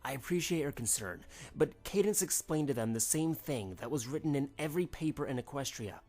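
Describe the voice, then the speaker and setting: A man's voice: medium pitch, expressive, brisk, darkish tone, faint music behind. Man as a dutiful, formal pony prince. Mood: defensive. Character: dutiful, defensive male pony prince and guard. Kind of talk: fan audio drama acting.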